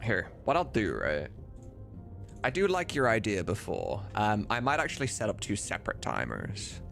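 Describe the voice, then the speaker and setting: A man's voice: on the low side, wildly swooping pitch, moderate pace, vocal fry, fairly bright tone, faint music behind. A man livestreaming as he works, chatting casually with viewers and muttering to himself. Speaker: livestreamer and game modder. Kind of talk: casual chat while working.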